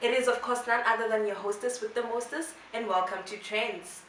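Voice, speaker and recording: A chatty woman hosts a relaxed interview, bubbly and quick to react. Her voice sits back from the mic in a very echoey room. A soft hum of noise stays behind her. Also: a little high. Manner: bubbly, chatty, says like a lot. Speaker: talk show host. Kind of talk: casual interview chat. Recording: echoey room from far off, noisy background.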